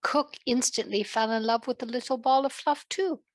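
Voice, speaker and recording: A woman narrating a children's story in a gentle, deliberate way, with a British accent that comes and goes. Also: on the high side, no room sound, clean studio sound. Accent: British, fading in and out.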